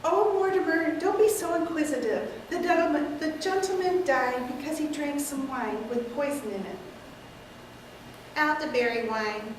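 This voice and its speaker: A woman reading the part of a sweet, genteel elderly aunt, gentle and matter-of-fact, in an echoey room far from the mic. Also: high-pitched, normal speed, slight background noise, close to an everyday voice. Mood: placid.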